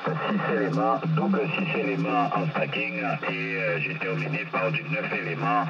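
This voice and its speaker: A man on a shortwave radio transmission, chatting casually as an amateur operator and repeating phrases for emphasis. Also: measured pace. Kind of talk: casual radio contact chat. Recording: muffled radio signal, loud static noise. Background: loud radio static.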